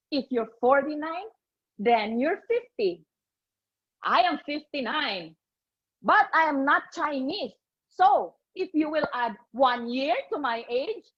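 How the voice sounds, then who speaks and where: A woman in her late fifties with a Filipino accent, delivering a humorous contest speech in a theatrical, deliberate manner over an online call. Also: high voice, slightly rough. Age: late 50s. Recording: muffled online video call.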